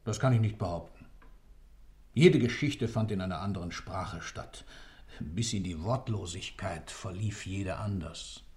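A man's voice: low voice, a little slow, darkish tone. An actor playing a fanatical accused killer in a German radio play, declaiming his creed of order with insistent, rhetorical intensity. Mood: cool, cryptic.

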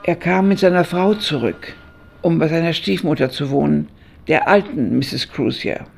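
An elderly actress playing an aged woman who recalls her youth in a radio play, measured and reflective, with a gravelly, husky voice. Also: sits low, animated, a little mellow, slight background noise. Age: older, over 70. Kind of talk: literary radio drama narration.

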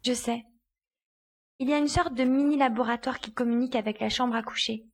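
A woman playing a decisive, bossy young woman leading a secret search in an audio drama, with a brisk, commanding manner. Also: on the high side, fairly even intonation. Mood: businesslike.

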